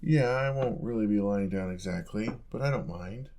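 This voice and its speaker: A man acts out a young male roommate in a cozy boyfriend-style audio roleplay. His voice sits fairly low with a strong crackle of fry. He speaks softly and casually, affectionate and playfully teasing as he coaxes the listener. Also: moderate pace, natural voice. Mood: gentle, caring. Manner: soft-spoken, teasing, casual, coaxing.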